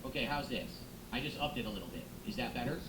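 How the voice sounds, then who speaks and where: A man's voice: mid-range voice, expressive, quick pace. Chatty streamer man in an echoey room. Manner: chatty, thanking viewers, musing aloud. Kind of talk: casual live-stream chat. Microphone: close XLR microphone. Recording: echoey room with background noise.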